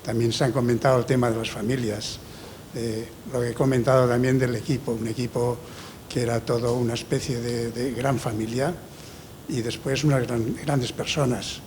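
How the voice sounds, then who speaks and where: A man's voice: mid-range voice, little pitch movement, normal speed, darkish tone, a little hiss or hum. A man in his sixties from Barcelona, a former handball player, reminiscing gratefully and restarting his phrases often. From Barcelona, Spain.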